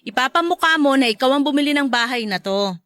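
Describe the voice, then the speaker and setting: A woman's voice: high-pitched, animated, fast, fairly bright tone, no echo, studio quality. An actress playing an irritated mother confronting her ex-partner in a Tagalog radio drama, curt and assertive, with a Filipino accent. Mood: angry, firm.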